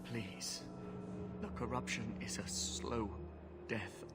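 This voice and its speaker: An actor playing a wounded, dying soldier who speaks weakly and pleadingly. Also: male voice, medium pitch, steady tone, loud music behind the voice. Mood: resigned. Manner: weak, pleading, slow. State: wounded, weakening. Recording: game cutscene with loud score.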